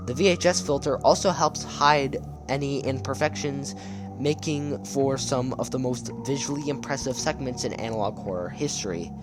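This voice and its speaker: A man delivers casual, scripted commentary in a conversational and enthusiastic voice at a middle pitch. He sounds like a video essayist talking a viewer through favorites, with music playing clearly behind him. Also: moderate pace. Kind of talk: casual scripted commentary on horror web series.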